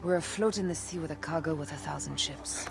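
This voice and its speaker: An actress playing a sharp-witted woman warrior who speaks briskly and wryly. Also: deep voice, gravelly. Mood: alert.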